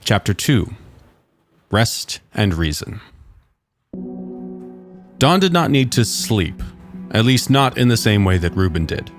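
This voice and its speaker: A man reading a scripted story aloud as its narrator. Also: fairly low pitch.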